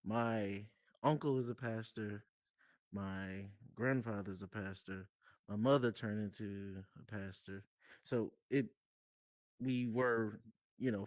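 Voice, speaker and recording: Relaxed man, lowish voice. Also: no room sound. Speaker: guest. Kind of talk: casual group chat.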